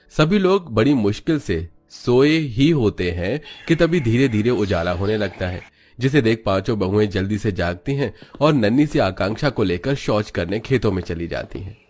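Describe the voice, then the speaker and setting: Narrator, male, fairly low pitch, scripted storytelling for a cartoon family drama. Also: darkish tone.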